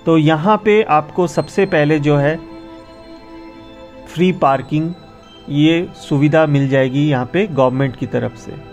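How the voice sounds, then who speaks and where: A man's voice: fairly high pitch, measured pace, faint music behind. A man narrating a casual travel vlog outdoors on a windy mountain pass, giving viewers practical tips in a chatty way. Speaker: travel vlogger.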